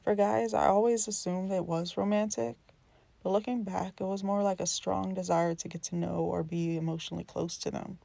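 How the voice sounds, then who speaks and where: A woman's voice: mid-range voice, steady tone, moderate pace, vocal fry, mellow, no echo, lightly acted. A woman playing an earnest, introspective young friend in naturalistic scripted dialogue, speaking tentatively and confidingly. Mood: reflective.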